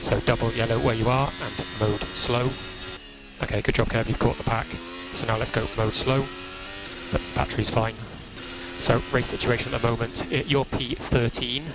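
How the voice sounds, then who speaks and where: A man working as a race engineer, giving calm, procedural briefings over team radio and repeating key instructions in a measured way. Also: medium pitch. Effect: team radio transmission. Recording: crackly noisy motor racing team radio.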